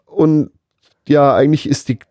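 A man's voice: fairly high pitch, fairly even intonation, a little slow, dark tone, dry recording, studio quality. A man co-hosting a podcast, talking in a casual, conversational way. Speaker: podcast co-host. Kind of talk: casual chat.

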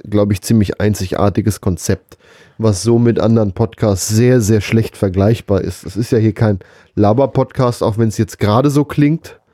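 A man's voice: fairly low pitch, moderate pace, mellow, dry recording, studio quality. A man co-hosting a podcast, chatting casually and jokingly. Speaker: podcast co-host.

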